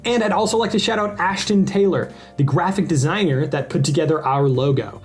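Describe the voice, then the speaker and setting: A man's voice: on the high side, fairly quick, breathy edge, soft background music. A man speaking as a podcast host, introducing things to listeners in an informal, upbeat and enthusiastic way.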